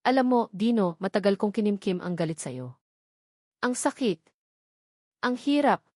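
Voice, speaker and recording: A woman with a Filipino accent voicing a gentle, hurt but forgiving young mother in a scripted audio drama, speaking hesitantly. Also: mid-range voice, expressive, measured pace, dry recording, clean studio sound. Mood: hurt, softening.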